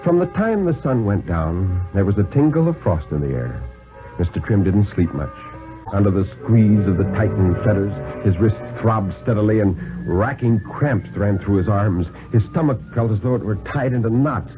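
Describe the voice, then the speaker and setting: A thin, band-limited 1950s radio recording carries a man reading a thriller story. His delivery is deliberate and dramatic, with a lively, wide-ranging pitch that shapes each phrase. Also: phone-quality sound, music in the background.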